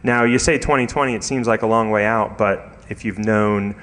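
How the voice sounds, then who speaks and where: Low-voiced man presenting in a reverberant hall. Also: fairly even intonation, a bit bright. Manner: halting, with frequent hesitations and repeated words. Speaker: conference speaker. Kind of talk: informal technical presentation with slides. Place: large indoor conference hall. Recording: echoey hall with long reverb.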